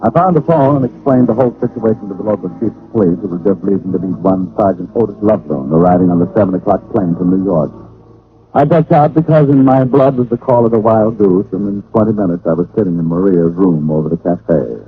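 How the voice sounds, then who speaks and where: Through a thin, narrow-band vintage radio recording comes a wry American gumshoe played by a man. His lowish voice tells the story in a cool, wisecracking, hard-boiled way. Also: fast, music in the background, natural voice. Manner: dry, wisecracking. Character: witty, hard-boiled male private detective, wry and cool. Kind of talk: old-time radio detective narration and acting.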